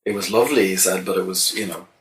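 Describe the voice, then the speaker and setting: Low-voiced Irish man, halting and thoughtful. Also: fairly bright tone. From Ireland. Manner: thoughtful, halting, many restarts. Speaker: songwriter guest. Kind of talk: casual interview answers.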